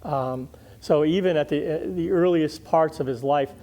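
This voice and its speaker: An older man speaking conversationally as a guest historian in an interview, thoughtful and halting, often doubling back on words. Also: on the high side, warm tone, muffled recording.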